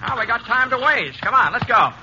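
An actor playing a laconic, steady Old West drifter who tells his tale in a folksy, understated way in 1950s radio drama style. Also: very high-pitched, brisk, noisy background. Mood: quietly insistent. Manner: folksy, understated, plain-spoken. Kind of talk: 1950s Western radio drama acting and first-person narration. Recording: muffled old 1950s radio recording, music behind.

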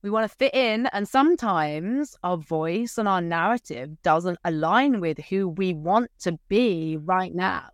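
A woman communication coach, chatting casually and animatedly, with a deep voice, acting out quotes. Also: measured pace, few pauses, no echo, studio quality. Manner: animated, acts out quotes with rising pitch. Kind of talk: casual conversation.

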